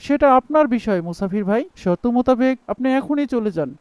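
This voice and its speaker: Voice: mid-range voice, lively intonation, a little fast, darkish tone, smooth, no room sound, lightly acted. A performer voicing a clever, confident young boy in a cartoon, speaking with assured reasoning. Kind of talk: cartoon voice acting.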